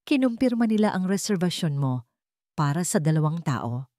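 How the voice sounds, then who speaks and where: A woman's voice: fairly low pitch, dry recording, clean studio sound. A woman with a Filipino accent voicing a wounded but determined wife in a story, restrained and weighty. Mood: calm, grave. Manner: calm but weighty, halting. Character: wounded, determined wife confronting her cheating husband.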